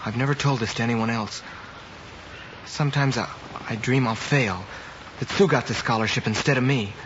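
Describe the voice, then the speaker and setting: Through loud hiss on a dull old radio recording comes a young man as a studious high school boy. He talks with brash confidence that covers real worry, in a mid-range 1950s radio drama voice. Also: moderate pace, lightly acted. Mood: anxious, confiding. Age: young adult. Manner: brash confidence hiding self-doubt. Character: anxious, cocky, studious high school boy set on winning.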